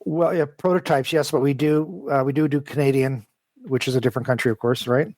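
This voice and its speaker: Male interviewee, engineer type. Chatty, wandering, stop-start delivery, average pitch, close dry sound. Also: fairly even intonation, darkish tone, no echo. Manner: rambling, halting, talkative. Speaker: interview guest, model train design engineer. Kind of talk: casual, detailed interview talk.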